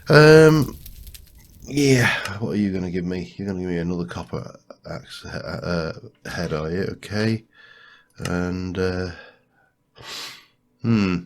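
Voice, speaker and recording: Deep-voiced man with a stuffy cold. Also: takes it slowly, pauses often. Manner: thinks aloud, muttering to himself. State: congested from a cold. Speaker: let's play host. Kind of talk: casual gameplay commentary.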